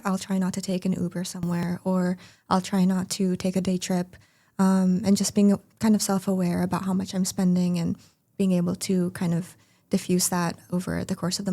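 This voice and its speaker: A woman's voice: medium pitch, warm tone. A young woman in her twenties, a graduate student guest, talking casually and unhurriedly with many fillers. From United States. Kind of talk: casual chat.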